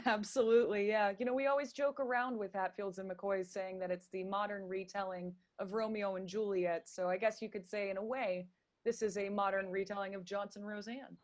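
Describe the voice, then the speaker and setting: A woman's voice: medium pitch, fairly even intonation, a little fast. A woman speaking as a guest in a radio interview, chatty and enthusiastic as she explains things. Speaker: interview guest, theater stage manager and director.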